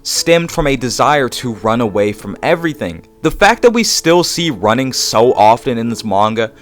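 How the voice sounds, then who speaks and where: A man's voice: medium pitch, very animated, moderate pace. A young adult man reading a scripted video essay narration. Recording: voice-over with background guitar music.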